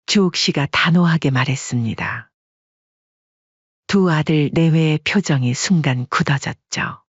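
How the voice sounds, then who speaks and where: A woman reads a family drama in a calm, measured voice that is slightly husky. The recording is close and dry, with a somewhat dull, muffled tone. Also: low voice, dry recording. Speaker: audiobook narrator. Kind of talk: scripted story narration.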